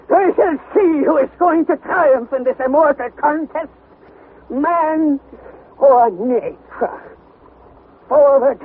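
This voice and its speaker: An actor playing an excitable, obsessive older German professor in a theatrical 1960s radio drama style. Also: male voice, very high voice, full character voice. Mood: defiant. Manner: theatrical, declamatory, exclaiming. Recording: tinny, noisy vintage radio recording.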